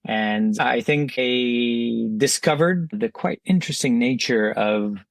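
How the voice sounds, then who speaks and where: A man in his thirties with a slight French accent, a native French speaker, explaining things in a measured interview answer with some fillers. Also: mid-range voice, gravelly, no room sound. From France. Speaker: podcast guest.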